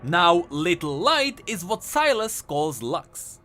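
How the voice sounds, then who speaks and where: A man's voice: high-pitched, big pitch swings, slow pace, pushed and bright. A man giving enthusiastic, emphatic scripted commentary as the host of a video game lore channel. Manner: emphatic, explanatory. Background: music.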